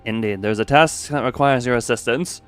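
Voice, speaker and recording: A man voicing a composed, scholarly male researcher from a video game, measured, formal and pedantic. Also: mid-range voice, a bit bright, clear, resonant tone, pitched below the performer's own voice, lightly acted. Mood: cool, measured. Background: music.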